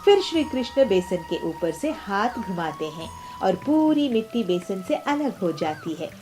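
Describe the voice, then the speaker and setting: A woman's voice: medium pitch, very animated, a little slow, darkish tone, a little hiss or hum. A woman narrating a scripted children's story.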